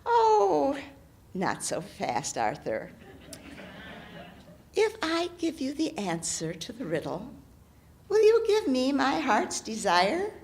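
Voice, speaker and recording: Woman storyteller as a cunning old hag, high-swooping, drawls her demands. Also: wildly swooping pitch, a little mellow, slight background noise, full character voice. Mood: sly, teasing. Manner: coaxing, drawn-out teasing lines. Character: loathly old hag woman, sly and knowing. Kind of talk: oral storytelling.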